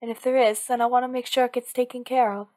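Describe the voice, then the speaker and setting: A woman's voice: a little high, level tone, quick pace, mellow, close to an everyday voice. A woman playing an anxious, possessive villain lover in an intimate ASMR roleplay, speaking in an earnest, pleading, insistent way. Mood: worried, coaxing. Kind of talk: ASMR roleplay acting, one-sided dialogue.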